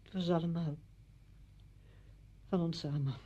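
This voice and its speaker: A woman's voice: lowish voice, fairly even intonation, measured pace, dark tone, husky, highs cut off. An actress playing a withdrawn, weary woman in a Dutch radio play, terse and reluctant in her answers. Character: withdrawn, weary woman living alone among packed belongings.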